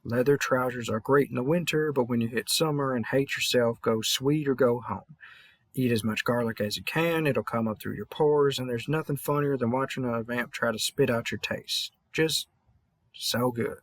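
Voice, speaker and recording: A man's voice: mid-range voice, normal speed, no echo, natural voice. A man playing a tired veteran vampire hunter from the American South, mumbling and rambling folksy encouragement in an intimate audio roleplay. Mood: tired, advising.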